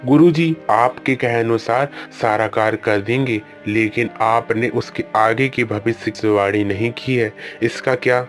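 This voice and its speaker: A man's voice: medium pitch, measured pace, hardly any pauses, faint music behind, lightly acted. A man voicing a worried old king who pleads with his guru and scolds his daughter in a cartoon story. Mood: alarmed. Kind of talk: Hindi cartoon storybook voice acting.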